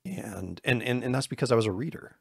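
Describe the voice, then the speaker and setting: A man's voice: mid-range voice, animated, a little fast, creaky, breathy edge, no echo, studio quality. A man co-hosting a podcast, talking in a loose, rambling way and joking at his own expense. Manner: loose and rambling, with self-deprecating jokes. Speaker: podcast co-host. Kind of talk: casual podcast chat.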